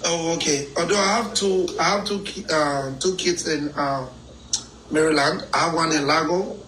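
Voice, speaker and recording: A man's voice: on the high side, normal speed, sharp tone, a little hiss or hum, poor audio. A Nigerian man calling into a live chat, boastful and joking in Nigerian Pidgin. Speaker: caller.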